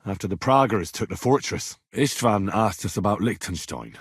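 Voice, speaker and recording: An actor playing an earnest, cheeky young medieval adventurer in a video game, plain-spoken and conversational. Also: male voice, fairly low pitch, expressive, normal speed, slightly rough, dry recording, studio quality. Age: young adult. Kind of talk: video game character dialogue.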